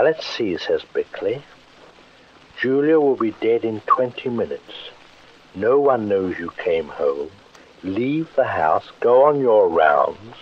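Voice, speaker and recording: A man narrating a 1940s radio suspense drama in a measured, ominous and sardonic way. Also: fairly low pitch, slightly rough, full character voice. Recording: muffled old radio recording.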